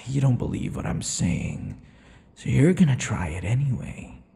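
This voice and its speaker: Guy doing a creepy yandere vampire boyfriend, asmr roleplay, taunting and whispery, swoopy pitch, big echoey reverb. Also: very animated, dark tone, heavily acted. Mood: menacing, tender. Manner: taunting, drawn-out, slipping into breathy whispers. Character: possessive, sadistic yandere male vampire captor. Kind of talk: intimate ASMR roleplay acting. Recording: long hall-like reverb.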